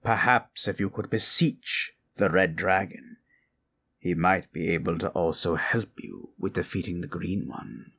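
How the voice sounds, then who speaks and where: A man voicing a grave skeleton-faced wizard with a low gravelly voice and a measured, formal manner, in a fantasy ASMR roleplay. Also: fairly bright tone, heavily acted. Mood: solemn, commanding. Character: grave, scary skeleton-faced male wizard, wise quest-giver.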